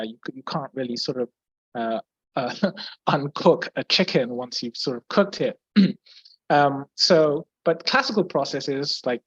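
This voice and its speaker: A young man from Brazil who speaks with an English accent, explaining physics in a question-and-answer session. He hesitates often, restarting phrases, filling gaps and clearing his throat. The call sounds slightly dull and dry, close and without room sound. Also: mid-range voice, dry recording. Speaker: guest physicist.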